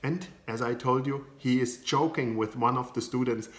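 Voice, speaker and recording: German man, German accent, psychologist-coach speaking to camera, halting and searching for words; echoey room. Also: mid-range voice. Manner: searching for words, frequent restarts and fillers. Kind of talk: informal talk to camera.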